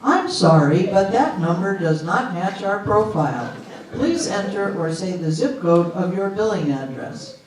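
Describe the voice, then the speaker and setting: Man playing a slow, echoey automated phone menu. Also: high voice, animated, crowd noise. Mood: flatly polite. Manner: formal, measured recitation. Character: automated phone menu system, polite, unyielding, repetitive machine. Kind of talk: readers theater comedy, scripted phone prompts. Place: live readers theater stage with audience. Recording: echoey hall, distant mic, audience noise.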